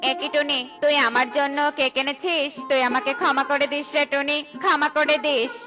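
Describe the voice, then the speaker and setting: Actress as poor, remorseful male rabbit; high pleading cartoon voice over music. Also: level tone, normal speed, lightly acted. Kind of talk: children's cartoon voice acting.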